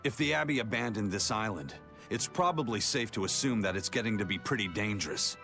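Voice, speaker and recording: An actor playing a steady, frank pirate first mate in a video game, plain-spoken and commanding. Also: male voice, lowish voice, brisk, gravelly, faint music behind, full character voice. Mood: matter-of-fact.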